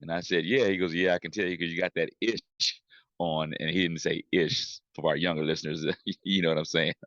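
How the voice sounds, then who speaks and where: Middle-aged guy with a raspy worn-out voice, deep, kinda muffled dull recording, chatting excitedly. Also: normal speed, no room sound. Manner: excited, laughs as he talks. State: hoarse, voice still recovering. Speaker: podcast co-host. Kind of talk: casual chat.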